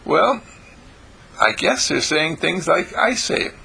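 A man's voice: fairly high pitch, sing-song, takes it slowly, gravelly, bright, forceful tone, husky, heavily acted. A man voicing an earnest, chatty four-year-old boy who speaks in repetitive, childlike phrases. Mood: confiding.

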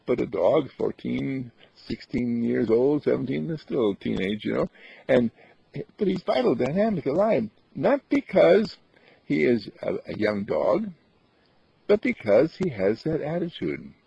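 A man giving a meditation talk, repeating affirmations with lively chuckles. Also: medium pitch, unhurried, creaky, breathy edge, no echo. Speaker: meditation teacher. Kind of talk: spiritual talk. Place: outdoors on a path. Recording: dull dry lo-fi recording.

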